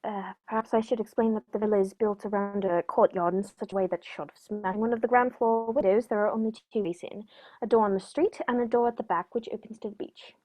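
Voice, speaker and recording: A composed gentleman detective from a radio play, acted by a woman. The voice sits at a middle pitch and speaks with precise, dryly polite, measured care. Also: steady tone, close to an everyday voice, rough recording. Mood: measured recounting.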